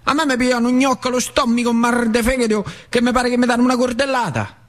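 An actor playing a fiery working-class uncle, a factory worker railing against the bosses in emphatic, repetitive dialect with a central Italian accent from northern Lazio. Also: male voice, very high voice, fairly even intonation, fast talker, pitched above the performer's own voice. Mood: pained, fed up. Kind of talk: theatrical monologue in rustic dialect. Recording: music behind the voice.

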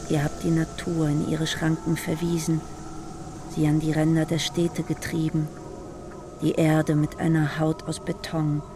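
A solemn voice that passes judgment on mankind, declaiming slowly in a fairly high, flat tone. Clear background noise and insect sounds run underneath. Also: male voice. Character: solemn male speaker judging mankind.

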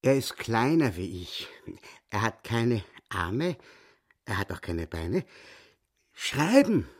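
An actor playing a boastful, cunning little dwarf crook in a radio play, with a Viennese accent and a croaking, wheedling voice. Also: male voice, mid-range voice, very animated, slow pace. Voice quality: raspy, croaking. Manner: wheedling, bragging storyteller.

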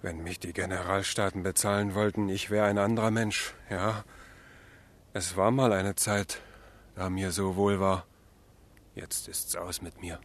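An actor playing a gentle, childlike madman in a radio drama, speaking in a wistful, wandering way. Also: deep voice, flat delivery. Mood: dreamily proud. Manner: slow, wistful, simple-minded, wandering. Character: gentle mad young man, dreamy, childlike, melancholy. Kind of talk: German literary radio drama acting.

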